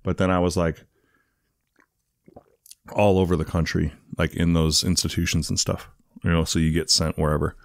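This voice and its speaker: A man's voice: low-pitched, level tone, moderate pace, vocal fry, long pauses, no echo, clean studio sound. A man telling his life story as a guest in a casual interview, rambling with lots of fillers. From England. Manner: rambling, many fillers, "you know what I mean".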